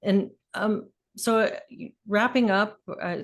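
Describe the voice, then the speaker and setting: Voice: mid-range voice, steady tone, slow. A podcast host talking casually in an interview, hesitant, with many fillers and restarts.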